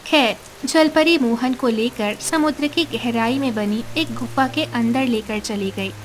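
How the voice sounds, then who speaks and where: A woman's voice: a little high, unhurried, a little hiss or hum. A woman reading scripted narration for a cartoon story, as a storyteller.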